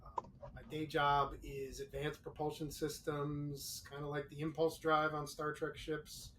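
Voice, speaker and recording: A man's voice: fairly high pitch, completely flat delivery, a little slow. Middle-aged man, distant from the mic. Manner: explanatory, eager, some fillers. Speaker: guest, author's husband. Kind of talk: casual interview answers. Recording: distant mic, faint noise.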